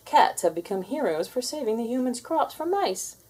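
A woman reading a children's storybook aloud in an expressive style. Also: fairly high pitch, normal speed, slightly rough. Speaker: storybook reader.

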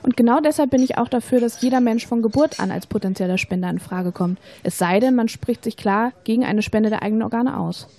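A young woman playing an informed, persuasive student in a scripted educational audio drama, explaining things in a measured, rehearsed way. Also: fairly high pitch, dark tone. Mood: matter-of-fact, explanatory. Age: young adult. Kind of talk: scripted educational radio-play dialogue.

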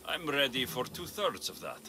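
A man's voice: mid-range voice, sing-song, normal speed, close to an everyday voice. An actor playing a dry, skeptical male mercenary hero with a sardonic wit, in a video game. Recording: game music underneath.

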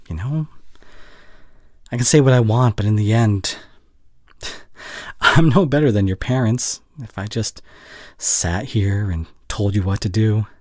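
A young man playing a caring, heartbroken boyfriend in an intimate audio roleplay, speaking haltingly and earnestly, often dropping to near whisper. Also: fairly low pitch, a little mellow, slightly rough, no echo, studio quality, full character voice. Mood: gentle, supportive. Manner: halting, earnest, pausing mid-sentence. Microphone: close studio condenser mic.

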